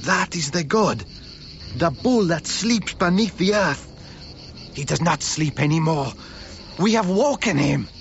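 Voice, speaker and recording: A man's voice: a little high, lively intonation, a little slow, vocal fry. An actor playing a drunk, superstitious Cretan foreman, brooding and ominous in a radio drama. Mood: haunted, ominous. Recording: dull, noisy radio drama recording.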